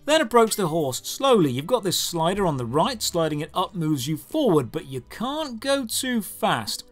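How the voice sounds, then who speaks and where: A man with a high-pitched voice delivers a scripted video game review. His intonation swings in a lively way as he plays up sarcasm and mock exasperation. Faint music runs underneath the narration.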